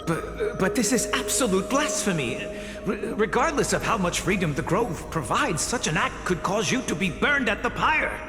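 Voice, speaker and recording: A stern, caring old teacher. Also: male voice, high-pitched, sing-song, moderate pace. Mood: alarmed. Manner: earnest, lecturing, stammers when alarmed. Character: stern but caring male teacher of a young scholar. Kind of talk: game voice acting. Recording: roomy echo with music behind.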